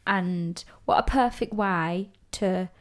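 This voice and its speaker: A woman's voice: lowish voice, slow pace. A young woman co-hosting a podcast in casual chat, giggly and trailing off mid-sentence. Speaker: podcast co-host.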